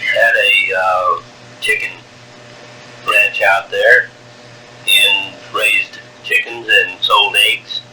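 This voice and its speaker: A man in his sixties from Wyoming, telling his life story on a home cassette tape, halting, with many fillers, and a strong voice. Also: lowish voice, noisy background. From Wyoming, USA. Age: 60s. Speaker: narrator of own life story. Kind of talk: taped personal memoir. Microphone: cassette recorder.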